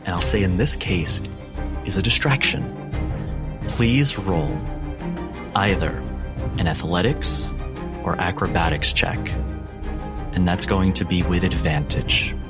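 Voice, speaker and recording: A man with a low voice runs a horror tabletop game as game master. He tells it in a measured, suspenseful way, leaving deliberate pauses, with music playing clearly behind him. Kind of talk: narrating a horror tabletop roleplay. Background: background music.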